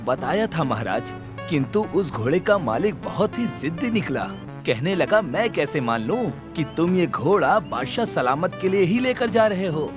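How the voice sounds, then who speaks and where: A man voicing a clever, witty royal courtier in an animated story, playful and persuasive. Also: fairly high pitch, moderate pace. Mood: explaining. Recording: background music under voice.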